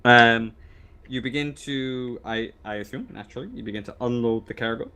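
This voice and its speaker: A man running a tabletop roleplaying game as game master, improvising narration in a halting way, searching for words. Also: medium pitch, sharp tone, clean tone.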